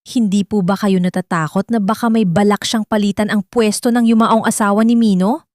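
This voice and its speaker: A woman's voice: medium pitch, steady tone, a little fast, darkish tone, dry recording, studio quality, lightly acted. A woman voicing a scheming, venomous younger woman in an audio storybook, sweet-talking and insinuating. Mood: sly, insinuating. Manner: sugary, insinuating, poisonous. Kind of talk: scripted storybook villain dialogue.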